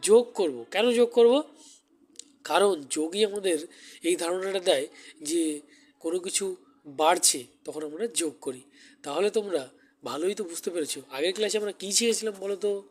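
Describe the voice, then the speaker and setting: A man's voice: sits high, normal speed, pauses often, a little mellow. A man speaking as a teacher to a class of young children, asking questions and repeating key words. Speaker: maths teacher giving a demo class. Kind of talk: primary school lesson addressed to children.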